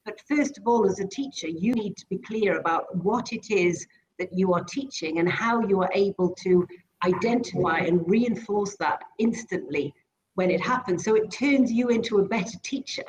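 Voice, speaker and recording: A Welsh-born woman with a British accent speaks as an interview guest, explaining her work in a measured, articulate way. Her voice sits at a middling pitch for a woman. Welsh is her first language. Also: slight background noise, poor audio. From Wales. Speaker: guest, speech therapist and behavior analyst.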